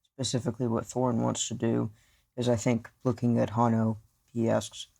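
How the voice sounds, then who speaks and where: Medium pitch, heavy vocal fry, casual tabletop player, informal, sweary. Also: little pitch movement, moderate pace, warm tone. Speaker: player in an actual-play podcast.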